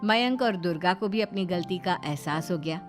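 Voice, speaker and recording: A woman's voice: on the low side, normal speed, over music. A woman storyteller reading scripted narration of a tale.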